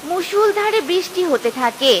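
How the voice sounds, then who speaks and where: A woman reading scripted narration for a children's animated story. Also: extremely high pitch, normal speed, noisy background.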